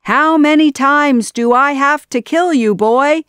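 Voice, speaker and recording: An evil male sorcerer from a storybook, acted by a woman narrator. He is imperious and menacing, pushing his words out loudly and with force. The sound is dry and close. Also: medium pitch, slow, clear, resonant tone, no echo, lightly acted. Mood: menacing, angry. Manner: shouting, imperious.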